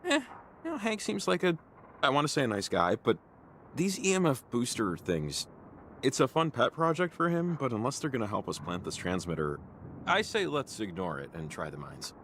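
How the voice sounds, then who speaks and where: An actor playing an easygoing, skeptical companion in a mystery adventure game, casual, hedging and wry. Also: male voice, mid-range voice, huge pitch swings, normal speed, slight background noise. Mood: hesitant, skeptical. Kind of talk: naturalistic game voice acting. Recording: loud music under the voice.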